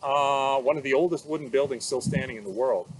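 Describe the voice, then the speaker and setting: A man giving an informal, factual explanation as a museum guide, with hesitant pauses. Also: medium pitch, poor audio. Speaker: museum guide, Viking expert. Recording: loud noise behind the voice.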